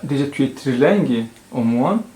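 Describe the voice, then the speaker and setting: A man's voice: mid-range voice, animated, normal speed, dark tone. A man interviewing a poet, asking casual questions and searching for words as he goes. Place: outdoor poetry fair in a city square. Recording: echoey outdoor recording with faint noise.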